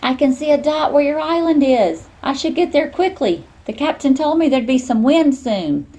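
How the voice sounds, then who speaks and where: A woman's voice: high-pitched, expressive, normal speed, a little mellow, pitched above the performer's own voice. A woman voicing an eager, determined novice woman sailor from a storybook, speaking earnestly.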